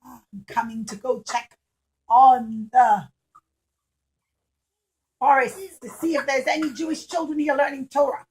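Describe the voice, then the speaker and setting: A woman's voice: high voice, steady tone, measured pace, pauses often, darkish tone, husky, poor audio. A woman telling a story to young children in simple, repeated phrases, often putting questions to her listeners.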